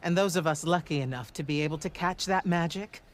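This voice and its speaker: An actor playing a commanding male battlemage mentor in a video game, speaking in a measured, grave, explanatory way. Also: a little high, close to an everyday voice. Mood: patient, instructive. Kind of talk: cinematic game dialogue.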